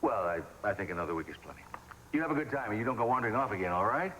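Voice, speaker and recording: A man's voice: fairly low pitch, animated, racing, fairly bright tone, a little hiss or hum, rough recording. An actor playing a proud, domineering father in a television soap opera, with a formal, clipped, commanding manner. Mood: relieved, firm.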